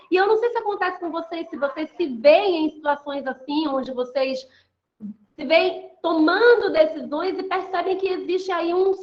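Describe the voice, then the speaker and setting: Chatty high-voiced woman from Bahia. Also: a bit bright, highs cut off. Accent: light Bahian Portuguese. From Salvador, Bahia, Brazil. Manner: chatty, addresses listeners directly, many tag questions. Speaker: online lecturer and women's mentor. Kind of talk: online talk to an audience.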